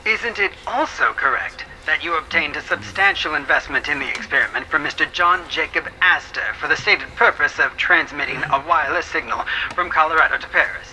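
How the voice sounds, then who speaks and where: A man's voice: fairly high pitch, brisk, hardly any pauses, pushed and bright, slight background noise, rough recording. A man playing a stern, scornful government attorney in a courtroom audio drama, cross-examining in a pompous, clipped, theatrical manner.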